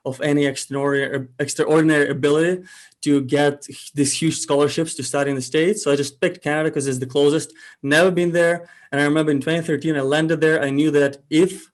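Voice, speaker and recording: A young man from Ukraine, telling his story as a guest in a casual interview, relaxed and thoughtful with frequent pauses. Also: a little high. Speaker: guest entrepreneur.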